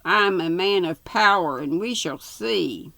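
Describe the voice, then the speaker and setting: Woman playing a dastardly squire, slow and creaky. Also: lowish voice, expressive, bright, forceful tone, dry recording, full character voice. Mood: scheming anger. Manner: pompous, stern, imperious. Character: dastardly, menacing, scheming male squire villain.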